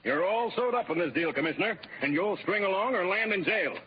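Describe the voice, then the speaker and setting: An American actor playing a smug, falsely jovial crooked political boss with an edge of menace, in old radio drama style. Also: male voice, high-pitched, expressive, fairly quick, breathy edge, exaggerated voice. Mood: threatening. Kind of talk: 1940s radio drama acting. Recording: dull, noisy old radio recording.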